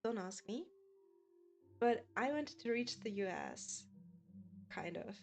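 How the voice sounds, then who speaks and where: A woman's voice: mid-range voice, animated, slow pace, creaky, soft background music. A woman from Germany with a German accent, chatting casually with viewers as a game streamer and laughing often. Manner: giggly, chatty, often laughs between sentences.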